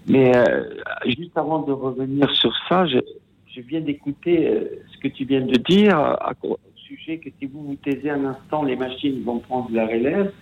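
A man's voice: mid-range voice, lively intonation, vocal fry, slightly rough. Italian man calling in over a phone. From Italy. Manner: hesitant, halting, many restarts. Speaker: radio caller, musician. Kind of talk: phone-in call to a radio show. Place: at home. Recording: tinny phone line.

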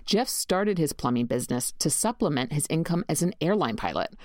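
A woman's voice: low voice, very animated, brisk, a little mellow, no echo, clean studio sound. A woman hosting an interview podcast, introducing her guest and asking questions in an upbeat, eager way. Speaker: podcast host.

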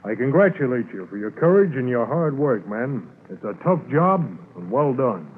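An actor playing a police sergeant in a 1950s radio drama. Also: male voice, mid-range voice, very animated, moderate pace, phone-quality sound, natural voice. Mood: earnest, approving. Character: male police sergeant and cornered narcotics smuggler. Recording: noisy band-limited 1950s radio recording.

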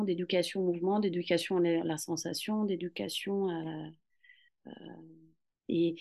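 A woman's voice: fairly low pitch, darkish tone. Woman, hesitant and searching. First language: Italian. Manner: hesitant, many fillers and restarts, searching for words. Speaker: guest, Pilates and Rolfing practitioner. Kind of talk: conversational interview.

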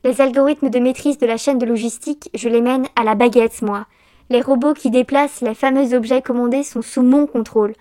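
High-voiced, grumbling Mother Christmas, played by a woman. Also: fairly even intonation, brisk, warm tone, close to an everyday voice. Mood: boastful, scheming. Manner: grumbling, sardonic, slangy. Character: Mother Christmas, fed-up sweary rebel housewife turned hacker. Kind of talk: comic audio fiction acting.

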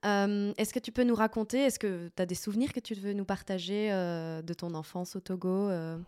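A woman's voice: medium pitch, steady tone, normal speed, warm tone, clean tone. A woman hosting a podcast, asking questions in a chatty way with fillers. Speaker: podcast host. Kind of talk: casual interview chat.

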